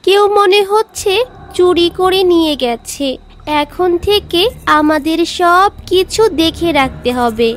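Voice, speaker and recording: Lady doing a polite little daughter, cartoon, super high voice, music quiet behind. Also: takes it slowly. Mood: earnest. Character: young village girl, obedient daughter. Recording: faint background music.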